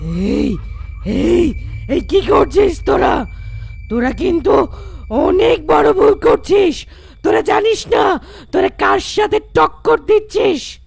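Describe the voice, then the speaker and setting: A shrill, vengeful female ghost. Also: big pitch swings, creaky, darkish tone, heavily acted. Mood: angry, menacing. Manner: drawn-out, taunting, theatrical delivery. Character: vengeful female ghost of a mango tree, menacing, gloating. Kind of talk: horror cartoon voice acting.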